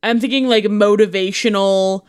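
A woman chatting casually as a podcast co-host, halting and peppering her talk with "like". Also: medium pitch, steady tone, darkish tone, clean tone, dry recording, clean studio sound. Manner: halting, heavy use of "like". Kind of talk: casual chat.

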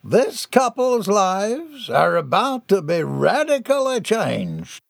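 A man's voice: sits high, wildly swooping pitch, gravelly, no echo, voice pushed up, full character voice. Man doing a declamatory ship's captain, raised voice. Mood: dramatic. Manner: declamatory, deliberate, addressing a crowd. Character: commanding ship's captain, grand public storyteller.

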